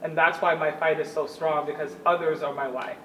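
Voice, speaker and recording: A woman in her thirties from New York, telling her life story in an earnest, animated way as an interview guest. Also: low-pitched, fairly quick. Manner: animated, laughs easily, earnest. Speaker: guest, former Olympic shot putter. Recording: roomy, distant mic, faint ambience.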